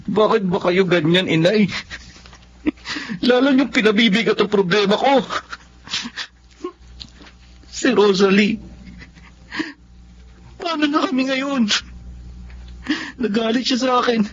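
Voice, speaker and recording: A man's voice: high-pitched, slow, long pauses, highs cut off, a little hiss or hum. A man with a Filipino accent playing an impassioned, anguished young lover in a Tagalog radio drama. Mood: frustrated, aggrieved. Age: young adult.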